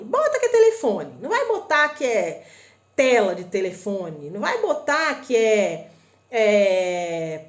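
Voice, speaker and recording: Chatty brazilian woman, paraná accent, voice jumps up and down a lot, roomy echo. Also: a little high. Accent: northern Paraná Brazilian Portuguese. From northern Paraná, Brazil. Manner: chatty and animated, addresses viewers as "gente", many tag questions. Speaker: host of a live stream on shopping imports. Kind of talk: casual live-stream explainer.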